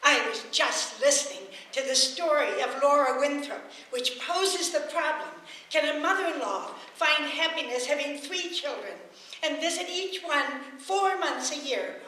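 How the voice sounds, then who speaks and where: A very reverberant radio-play recording with faint hiss. a brash, put-upon housemaid with a high, bright voice. She is blunt and stubborn, always ready to argue back. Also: lively intonation, normal speed. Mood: gossipy indignation. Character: brash, stubborn maid who talks back to her employers. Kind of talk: old radio domestic comedy acting.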